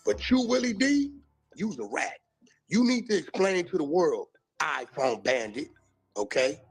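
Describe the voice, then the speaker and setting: A man's voice: a little high, sing-song, measured pace, slightly rough, soft background music. An American man in a slangy, emphatic monologue, calling people out in a confrontational way.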